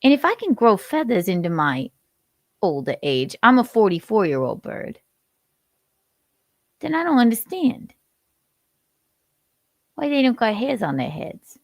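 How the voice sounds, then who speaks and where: A gleeful, menacing hawk villain in his forties, put on by a woman, bragging without a trace of regret. The voice is gravelly and swoops up and down. It sounds close and dry. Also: fairly high pitch, sing-song, measured pace, pauses often, no room sound, heavily acted. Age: 40s. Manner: gleeful, unrepentant, menacing boasts. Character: violent male hawk who hates bald men.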